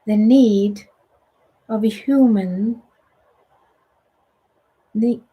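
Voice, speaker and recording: A middle-aged woman with a Swedish accent, whose first language is Swedish, leading a guided meditation and spiritual teaching in a soft, soothing voice that often sinks to a whisper. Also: mid-range voice, drawn-out words, slightly rough, highs cut off.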